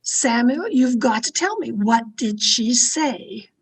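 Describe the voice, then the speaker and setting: A woman's voice: on the high side, animated, slow, husky, exaggerated voice. A woman playing an anxious, domineering wife, demanding and fretful, in old time radio horror style. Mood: demanding, agitated. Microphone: video call.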